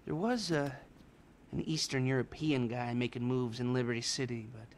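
An actor voicing a nerdy, methodical heist planner in a video game, soft-spoken and dry with hesitant fillers. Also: male voice, mid-range voice, normal speed, slight background noise, close to an everyday voice. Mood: explaining.

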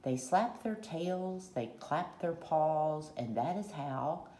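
A woman reading a children's picture book aloud in short, deliberate rhyming phrases, recorded at a table at home. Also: sits low, animated, dark tone. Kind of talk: read-aloud storytelling. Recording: roomy echo, faint background noise.

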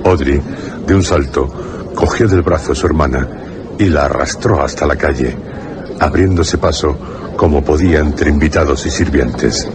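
A man with a Castilian accent narrating a radio horror story in a grave, deliberate way with dramatic pauses. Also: extremely low pitch, background noise. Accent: Castilian Spanish.

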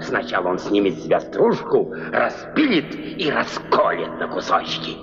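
Cruel cartoon cactus king, menacing, mock-polite, dull old soundtrack, loud music behind. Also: deep voice, huge pitch swings, normal speed. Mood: gloating, menacing. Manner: theatrical, menacing, mock-courteous. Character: tyrannical, sneering cactus king, cruel and gloating. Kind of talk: dubbed cartoon acting. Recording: muffled old film soundtrack, long reverb, music.

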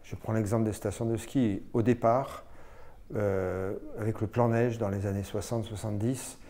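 A man's voice: fairly low pitch, steady tone, normal speed, mellow. An older man explaining things in an interview, conversational and emphatic, making his case point by point. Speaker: mountain association president. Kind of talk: documentary interview, explaining informally. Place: indoors, small office with windows.

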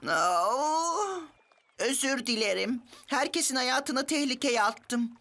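Bright-voiced whiny cartoon boy. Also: high voice, lively intonation, takes it slowly, exaggerated voice. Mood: remorseful. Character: whiny, mischievous young boy. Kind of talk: animated cartoon dub.

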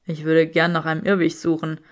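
A woman's voice: low-pitched, completely flat delivery, a little fast, voice pushed down, close to an everyday voice. A woman voicing a haughty young aristocratic man in an audiobook, teasing in a dry, mock-dramatic way.

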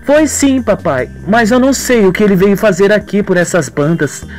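A woman's voice: medium pitch, lively intonation, moderate pace, darkish tone, soft background music, voice pushed up, natural voice. A narrator voicing a scheming, two-faced young woman in a radio soap, with feigned sorrow and haughty defiance. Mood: evasive. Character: scheming, falsely sweet young woman villain.

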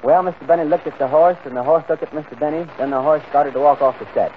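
A man's voice: on the high side, steady tone, fast, a little hiss or hum. A man acting as the plain, matter-of-fact straight man in a scripted 1940s radio comedy. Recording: tinny 1940s radio broadcast.

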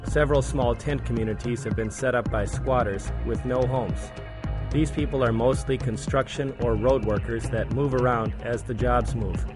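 Man narrating over background music. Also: medium pitch. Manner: plain, measured, informative. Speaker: church volunteer narrating a mission video. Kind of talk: scripted documentary voice-over.

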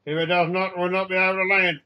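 Storybook role: stern airline captain acted by a man, urgent, bossy, high-pitched and forceful. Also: expressive, normal speed, heavily acted. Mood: stern anger. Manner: urgent, commanding, blustering.

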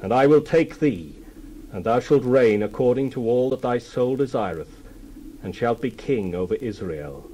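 A man's voice: medium pitch, expressive, normal speed, dark tone, a little hiss or hum, lightly acted, poor audio. An actor playing God as a solemn, commanding male deity in a dramatized scripture reading, stately and declamatory.

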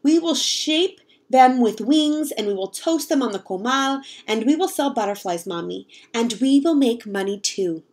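Woman voicing young storybook girl, high voice, expressive, eager and hopeful. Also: moderate pace, hardly any pauses. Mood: excited. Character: excited, hopeful young girl narrating a picture-book story.

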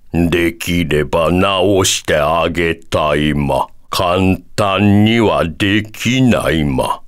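A man voicing a wise, proud elderly bear-like sage in a video game, measured and calm, ending sentences with a quirky verbal tic. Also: sits low, full character voice. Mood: wise, musing. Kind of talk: video game character voice acting.

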